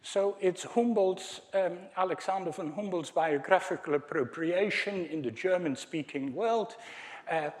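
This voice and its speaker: Slow, hesitant Dutch-accented man. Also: sits high. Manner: hesitant, many fillers, wry asides to the audience. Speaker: academic speaker. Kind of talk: conference lecture with slides.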